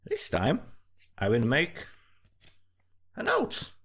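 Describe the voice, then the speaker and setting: A man's voice: fairly high pitch, huge pitch swings, takes it slowly, vocal fry, a bit bright, breathy edge. A man from Slovakia with a Slovak accent, giving casual, rambling commentary in a profane, self-mocking and digressive way. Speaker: storyteller and amateur writer. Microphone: desktop USB condenser microphone.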